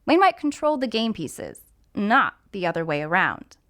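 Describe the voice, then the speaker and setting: A woman's voice: medium pitch, sing-song, normal speed, a bit bright. A woman narrating a scripted mystery story as an actor reading aloud. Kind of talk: scripted audiobook narration with character voices.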